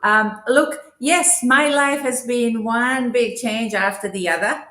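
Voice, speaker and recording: A woman's voice: a little high, slow pace, bright, forceful tone, roomy sound. A woman from Croatia, a change specialist, speaking as an interview guest in a reflective, searching way. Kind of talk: interview over video call.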